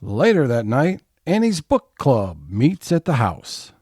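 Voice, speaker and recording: An actor playing the narrator who sets scenes in an audio comedy. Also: male voice, medium pitch, sing-song, takes it slowly, darkish tone, husky, dry recording, clean studio sound, heavily acted. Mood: announcing. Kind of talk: scripted scene-setting narration.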